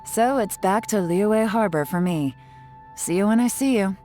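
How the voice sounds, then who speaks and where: A woman's voice: mid-range voice, moderate pace, lightly acted. A woman playing a cool, shrewd, teasing secret operative in a video game, with a sly, knowing manner. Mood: brisk. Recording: music under the voice.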